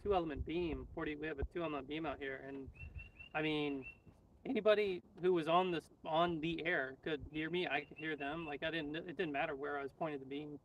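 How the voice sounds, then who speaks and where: A man's voice: fairly high pitch, fairly even intonation, fairly quick. An American man co-hosting a casual live stream, chatting informally as a fellow radio hobbyist. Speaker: co-host and ham radio operator. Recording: dull lo-fi distant mic, faint noise.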